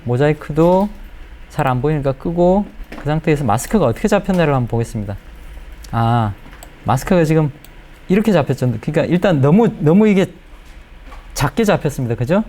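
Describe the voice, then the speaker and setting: A middle-aged man giving a spoken software tutorial as an editing instructor, explaining step by step and checking in with questions. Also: on the high side, lively intonation, fairly quick.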